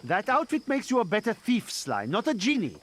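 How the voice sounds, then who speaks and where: A man's voice: high voice, wildly swooping pitch, brisk, heavy background noise. A man voicing a proud, crotchety elderly animal thief in a video game, bossy and dry as he bickers. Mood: grumpy.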